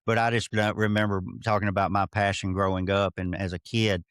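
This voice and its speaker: A man's voice: lowish voice, flat delivery, fairly quick, a bit bright, smooth, dry recording, clean studio sound. A middle-aged man from Alabama with a Southern accent, hosting a podcast interview and drifting into friendly asides. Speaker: podcast host. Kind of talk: casual interview.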